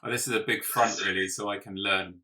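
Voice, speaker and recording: A male interviewer with a fairly low but bright voice speaks casually and haltingly. He is slightly away from the microphone. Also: fairly even intonation, far from the mic. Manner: halting, informal questioning.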